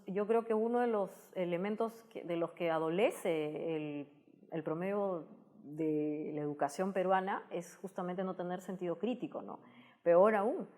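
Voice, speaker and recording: A woman's voice: on the low side, a little mellow. A woman with a Peruvian accent answering interview questions at length, thoughtful, often restarting and adding tag questions. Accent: Peruvian Spanish. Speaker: guest, tech communicator.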